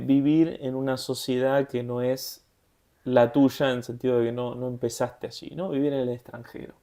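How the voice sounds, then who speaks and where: Mellow-voiced Argentine man, laid-back. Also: mid-range voice. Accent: Rioplatense Argentine Spanish. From Buenos Aires, Argentina. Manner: relaxed and conversational, laughs easily. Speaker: podcast co-host. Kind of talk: casual podcast chat.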